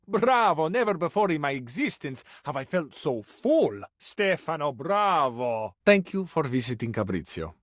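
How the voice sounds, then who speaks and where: A man's voice: on the high side, a bit bright, no room sound, clean studio sound. A man playing a flamboyant, vain Italian lover in a video game, speaking with an Italian accent in an effusive, florid, romantic manner. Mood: delighted. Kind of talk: theatrical video game character acting.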